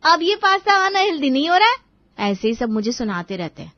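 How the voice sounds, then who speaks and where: A woman's voice: high-pitched, very animated, fairly quick, no room sound, muffled recording, voice pushed up. A woman voicing an irritable, sarcastic young daughter in a cartoon family story, complaining and taunting.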